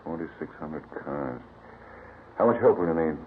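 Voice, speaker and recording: Gruff american police boss, super low voice, curt, muffled old radio recording. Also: male voice, animated, moderate pace, a little hiss or hum. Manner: curt, questioning. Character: gruff, brisk male police captain, the boss. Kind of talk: 1950s radio crime drama acting.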